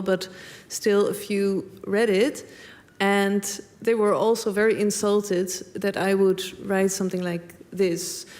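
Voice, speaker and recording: A young woman from the Netherlands speaks in a creaky, slightly gravelly voice. She talks hesitantly and thoughtfully in an on-stage conversation, leaning on fillers as she goes. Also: mid-range voice, a little mellow. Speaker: panel guest.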